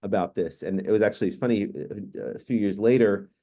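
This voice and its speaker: Low, dark-voiced man moderating a panel. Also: a little fast. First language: Hungarian. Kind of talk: online panel discussion. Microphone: video call.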